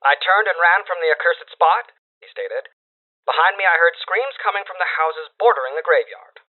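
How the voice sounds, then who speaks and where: Guy doing an old timey news bulletin voice, formal and ominous, thin crackly-radio filter, bit high pitched. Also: expressive, moderate pace. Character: formal wire-service voice reading ominous news dispatches. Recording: tinny narrow-band old radio sound.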